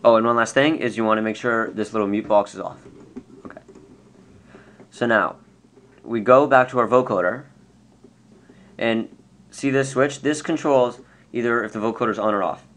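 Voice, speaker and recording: A man giving an unscripted, casual software tutorial, explaining step by step and correcting himself as he goes. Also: mid-range voice, moderate pace, long pauses. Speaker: tutorial presenter. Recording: clear background noise.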